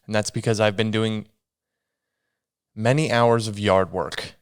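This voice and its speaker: Sniffly young dude rambling solo on his podcast, smooth voice, dead dry sound. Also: lowish voice, fairly even intonation, unhurried, no echo. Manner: rambling, self-deprecating, meandering restarts. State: sniffly, stuffy nose. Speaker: podcast host. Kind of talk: solo casual podcast monologue.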